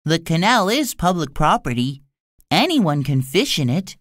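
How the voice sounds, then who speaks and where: A man's voice: fairly high pitch, sing-song, unhurried, fairly bright tone, no echo, studio quality, voice pushed up. A man voicing a bold, stubborn young boy in a storybook, determined and matter-of-fact.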